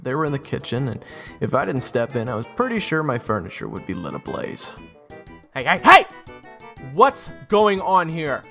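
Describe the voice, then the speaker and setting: A man's voice: medium pitch, huge pitch swings, normal speed, gravelly, sharp tone, exaggerated voice. A man in his twenties playing an easygoing, wry young man who narrates his own story in an audio drama. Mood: exasperated. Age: 20s. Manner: casual, conversational, wry. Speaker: narrator and lead character. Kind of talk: first-person audio drama narration and dialogue. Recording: music bed behind voice.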